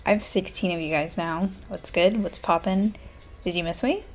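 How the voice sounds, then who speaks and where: A woman in her twenties hosting a solo podcast, talking low and hushed in a candid, profane casual chat from a room in a small house. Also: normal speed. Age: 20s. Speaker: podcast host. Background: faint classical music.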